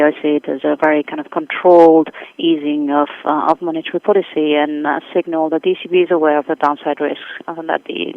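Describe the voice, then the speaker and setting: Woman, expert commentary, hesitant and repetitive, creaky voice, dull dry telephone recording. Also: low-pitched, dry recording. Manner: hesitant, repeating words as she reasons. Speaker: senior economic advisor, interview guest. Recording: dull, muffled phone line.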